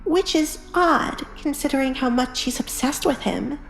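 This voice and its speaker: Woman acting a timid young maid, high voice, meek and earnest, audiobook drama, echoey with music behind. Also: animated, moderate pace, creaky. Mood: earnest, hurt.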